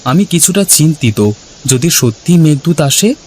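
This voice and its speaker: A man's voice: a little high, expressive, moderate pace, darkish tone, a little hiss or hum, lightly acted. A man voicing a timid, anxious village boy in a cartoon, hesitant and prone to stammering. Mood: worried. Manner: hesitant, stammering when afraid. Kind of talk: cartoon character dialogue.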